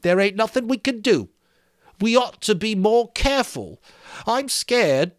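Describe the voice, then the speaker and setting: A man acting out a high-pitched, whining schoolboy from an audiobook novel. He sounds wronged and anxious, nagging about rules in rough grammar, his voice swinging up and pressing loud with indignation. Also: very animated, a little slow, no room sound, clean studio sound. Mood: scared. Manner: indignant, hurt, reproachful, ungrammatical. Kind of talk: dramatic audiobook reading.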